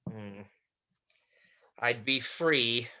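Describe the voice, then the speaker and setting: An actor as a menacing caged monster, theatrical, indignant, slow-paced, forceful bright voice, wide swings. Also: mid-range voice. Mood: dark, scheming. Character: prickly, menacing imprisoned monster, a Woozle, out to scare. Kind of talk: dramatized livestream play reading.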